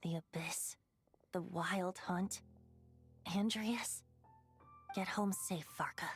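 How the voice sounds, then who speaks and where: A woman playing a poised, gently teasing character in a video game. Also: lowish voice, steady tone, takes it slowly, soft background music. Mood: solemn, wistful.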